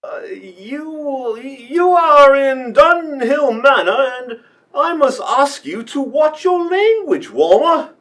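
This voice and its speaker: An actor playing a stuffy, stammering lord in a comic radio mystery, slightly off the microphone. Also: male voice, very high-pitched, big pitch swings, takes it slowly, a bit bright, far from the mic, heavily acted. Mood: proper. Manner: proper, stammering. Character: stuffy, flustered lord of the manor. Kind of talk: old-time comic radio mystery acting.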